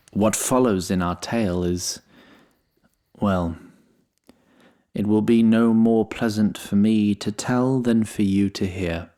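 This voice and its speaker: A man's voice: fairly low pitch, a little mellow, natural voice. A man playing a wry, grandiose storyteller who narrates a fantasy tale in theatrical style and wanders into droll asides. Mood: grave, ornate.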